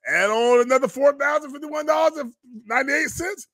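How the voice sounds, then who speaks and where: A man delivers commentary in a preacher's cadence. His voice is bright and forceful, recorded dry and close. He sounds indignant and emphatic, hammering phrases by repeating them. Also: very high voice, brisk, dry recording. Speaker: pastor and online show host. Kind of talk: fiery live-stream commentary, preacher's cadence.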